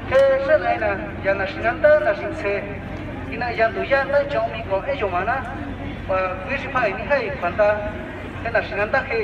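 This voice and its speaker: Man, native Mazatec speaker, activist speech, muffled echoey PA, noisy street. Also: very high-pitched. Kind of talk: protest speech in his mother tongue. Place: city street rally, outdoors. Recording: muffled, echoey, distant PA, crowd noise.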